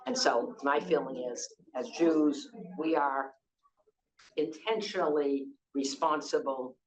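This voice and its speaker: A woman's voice: low-pitched, slow pace, a little hiss or hum, poor audio. A woman in her sixties with a Boston accent, moderating a conference panel in a loose, conversational way with frequent fillers and restarts. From Boston, United States.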